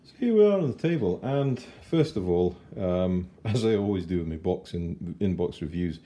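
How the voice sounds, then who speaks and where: A man in his forties giving a chatty, unhurried model kit review at his kitchen table. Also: low-pitched, lively intonation, dark tone. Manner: chatty and unhurried, with fillers and self-corrections. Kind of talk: casual unboxing review.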